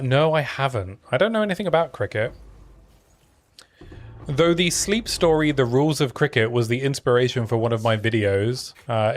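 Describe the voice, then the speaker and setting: A young man with a soothing voice, chatting casually with his viewers as he streams a game. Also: mid-range voice. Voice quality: soothing sleep-story voice. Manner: relaxed, chatty, answering chat between plays. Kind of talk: casual live-stream commentary while playing.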